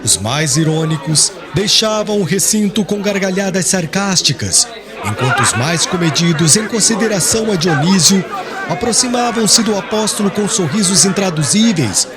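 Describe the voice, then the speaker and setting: A man with a Brazilian accent reads a literary audiobook in a solemn, expressive storytelling manner. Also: high-pitched, moderate pace, crowd noise. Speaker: audiobook narrator. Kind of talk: scripted literary narration. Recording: music under the voice. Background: background music.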